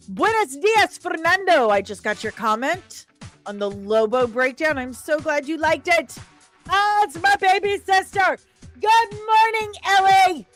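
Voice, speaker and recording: Female streamer, higher-pitched, unhurried, playful and expressive, casual chat with viewers over faint music. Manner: playful, expressive, sing-song greetings. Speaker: live stream host reviewing comics and screen news. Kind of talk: casual livestream chat, reading out viewer comments. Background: faint background music.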